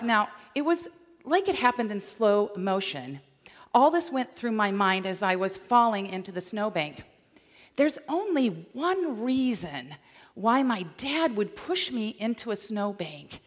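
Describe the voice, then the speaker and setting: A middle-aged woman from Michigan giving an animated, storytelling chapel talk on a large stage. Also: fairly high pitch. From Michigan, USA. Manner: animated, gesturing while walking the stage. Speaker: chapel speaker. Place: large dark auditorium stage. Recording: long hall reverb.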